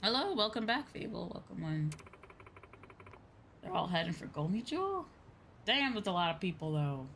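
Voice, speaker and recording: A young woman chatting casually on a game livestream, rambling, expressive and informal, swearing freely. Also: medium pitch, moderate pace, long pauses, a little hiss or hum. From outside Japan. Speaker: VTuber game streamer. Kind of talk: casual livestream chat over a video game. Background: game music.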